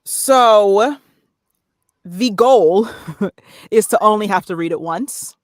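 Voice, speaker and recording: A woman's voice: medium pitch, takes it slowly, dry recording, studio quality. A woman speaking casually as an interview guest, explaining things in a conversational way. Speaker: podcast guest, voice-over artist and narrator.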